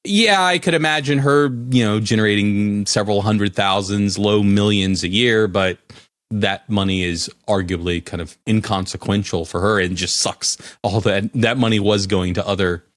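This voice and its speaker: Lowish voice guy co-hosting, measured explainer tone, dry mic. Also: no room sound. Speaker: podcast co-host. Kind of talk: casual tech chat.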